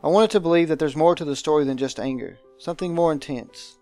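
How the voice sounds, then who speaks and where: A man voicing a visual novel's aristocratic guardian, pompous, dramatic and deliberate, with menacing affection. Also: a little high, a little mellow, faint music behind, natural voice. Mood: grandiose joy.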